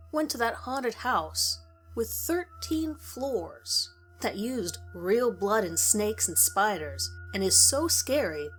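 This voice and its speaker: Woman, medium pitch, scripted horror storytelling, deliberate phrasing with pauses, music behind the voice. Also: rough recording. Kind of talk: scripted first-person story narration. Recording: music under the voice. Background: background music.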